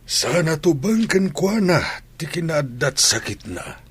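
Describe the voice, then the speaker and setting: A man's voice: a little high, very animated, a little slow, breathy edge, full character voice. A man acting in a radio drama as a fond, concerned old grandfather.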